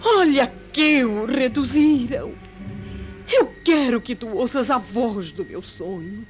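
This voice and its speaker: Slow, pleading Roman noblewoman. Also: fairly high pitch, lively intonation, faint music behind. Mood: anxious pleading. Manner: solemn, imploring. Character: anxious pleading wife of a Roman governor. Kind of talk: old-fashioned radio drama acting.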